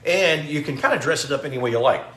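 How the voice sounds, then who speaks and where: A man's voice: fairly high pitch, expressive, quick pace, a bit bright. Chatty middle-aged man cooking in an echoey kitchen. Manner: chatty, greets viewers by name while cooking. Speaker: cooking show host. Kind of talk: casual live cooking demo. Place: home kitchen. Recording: echoey kitchen with faint noise.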